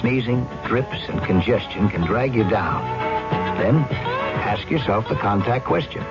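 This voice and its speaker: A low-pitched male announcer reads scripted ad copy for radio. Loud music sits behind him, and the old recording sounds dull. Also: expressive, unhurried. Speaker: commercial announcer. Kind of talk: scripted radio cold-remedy advert.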